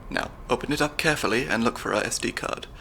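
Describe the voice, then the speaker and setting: A man's voice: mid-range voice, fast talker, creaky, a little hiss or hum. A young man voicing a nerdy, slightly anxious stand-in spy handler with dry wit, in an ASMR audio roleplay. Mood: flustered. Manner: analytical, dry wit, soft-spoken.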